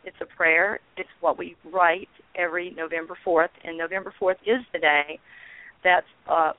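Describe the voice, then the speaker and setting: An earnest woman calls in as a guest and tells her story, pausing often with ums. Her voice comes through a narrow, tinny phone line. The sound is dry and close. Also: lowish voice, fairly even intonation, dry recording. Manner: earnest, halting with frequent ums. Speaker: guest, founder of a peace blogging network. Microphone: telephone.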